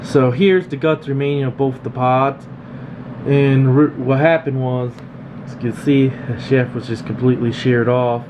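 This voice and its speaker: A man's voice: medium pitch, a little slow. A man, a repair technician, giving a casual, plain-spoken demonstration in an informal explaining way. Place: indoor electronics workshop. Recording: slightly dull, noisy hum of room. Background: steady background noise.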